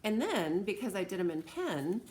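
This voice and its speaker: A woman's voice: mid-range voice, big pitch swings, normal speed, darkish tone, slight background noise. An American woman teacher talking informally and encouragingly to students as she reads aloud and demonstrates things. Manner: encouraging, conversational.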